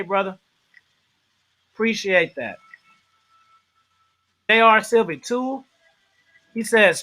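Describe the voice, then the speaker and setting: A man hosting a casual livestream interview, animated and shouting out viewers in the chat. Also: high-pitched, rough recording.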